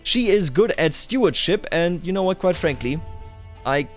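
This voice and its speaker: A man's voice: high-pitched, animated, normal speed, faint music behind. A man doing roleplay commentary over a strategy game, addressing its characters and himself with theatrical declarations. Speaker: gaming YouTuber.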